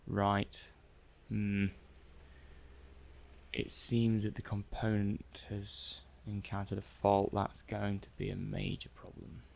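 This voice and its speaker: A man acting as a methodical robot-testing technician in an ASMR roleplay. His voice is creaky and even in pitch, and he works through things slowly and hesitantly, half muttering to himself in a mild, matter-of-fact way. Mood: questioning, then mildly concerned. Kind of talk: soft-paced ASMR roleplay monologue.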